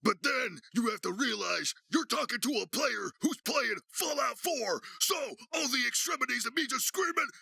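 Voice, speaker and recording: A man voicing a brash biker Santa Claus for a game, with a gravelly, strained belly voice and the swagger of an announcer working a crowd. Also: very high voice, lively intonation, moderate pace, few pauses, bright, forceful tone, no echo, studio quality, voice pushed up, full character voice. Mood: rowdy, aggressive. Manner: slick, in-your-face salesman swagger. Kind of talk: shouted announcer-style game character voice.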